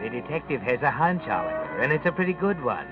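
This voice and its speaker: An actor playing an ominous, all-knowing male narrator who taunts a murderer with deliberate insinuation, in 1940s radio drama style. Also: medium pitch, big pitch swings, lightly acted. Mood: knowing, taunting. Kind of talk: 1940s radio acting, second-person suspense narration. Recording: tinny vintage radio recording, music behind. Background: dramatic music.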